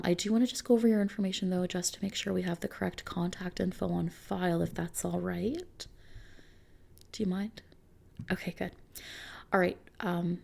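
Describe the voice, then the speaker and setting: A woman speaking softly and often near a whisper in an ASMR roleplay, gently reassuring like an attentive consultant. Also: on the low side, vocal fry. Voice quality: soft, hushed, often slipping into whispers. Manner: gentle, reassuring, attentive client-service talk. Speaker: ASMR roleplay performer playing a customization consultant. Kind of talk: soft-spoken ASMR roleplay.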